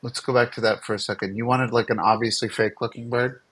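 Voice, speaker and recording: Male developer on a team livestream, casual and conversational, medium pitch. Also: fairly even intonation, fast, fairly bright tone, slightly rough. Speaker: game developer co-hosting a livestream. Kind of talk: casual chat with colleagues.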